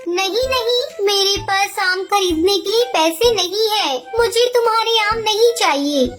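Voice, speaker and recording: Very high-pitched little girl bird. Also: unhurried, a bit bright, soft background music. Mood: refusing. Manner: childlike, insistent. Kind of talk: Hindi cartoon story voice acting.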